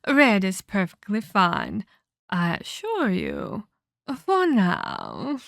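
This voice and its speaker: A woman playing a condescending, sinister female vampire captor in an ASMR audio roleplay, speaking in a deliberate, theatrical, taunting way. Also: mid-range voice, wildly swooping pitch, gravelly, fairly bright tone, no room sound, studio quality, exaggerated voice. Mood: mocking, amused.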